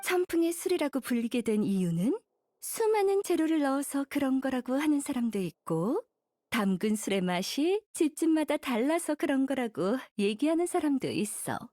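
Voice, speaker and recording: A composed, teasing woman mentor from an anime game. Her high voice rises and falls expressively as she explains things unhurriedly. The sound is dry and close. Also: sing-song, normal speed, dark tone, no echo. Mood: explaining.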